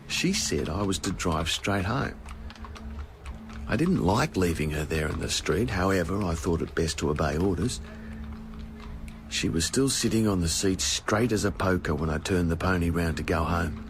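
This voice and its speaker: An actor playing a shaken, dutiful gardener giving a plain-spoken, deliberate statement in an audio drama. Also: male voice, low voice, noisy background. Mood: troubled, subdued. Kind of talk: dramatised witness statement, storytelling.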